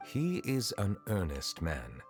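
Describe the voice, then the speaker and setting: Game high official: deep, reserved, formal and measured, soft music behind. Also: male voice, wildly swooping pitch, heavily acted. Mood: calm, advising. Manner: formal, deliberate, restrained. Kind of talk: game character dialogue.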